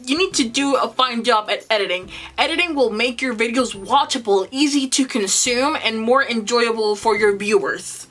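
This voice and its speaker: A woman's voice: fairly high pitch, a bit bright. A young woman with a Spanish accent, a native Spanish speaker, giving earnest, encouraging advice in a vlog to the camera. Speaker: booktuber.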